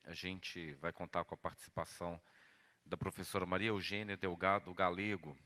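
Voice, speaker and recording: Male moderator, somewhat low, bright, and forceful voice; formal introduction with a long room echo. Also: flat delivery, normal speed. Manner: formal and polite, with a slight stumble. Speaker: seminar moderator.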